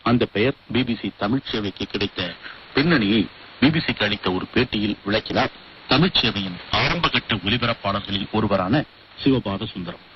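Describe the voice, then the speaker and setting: Low-voiced man presenting on muffled shortwave radio. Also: moderate pace, a little hiss or hum. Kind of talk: scripted documentary narration.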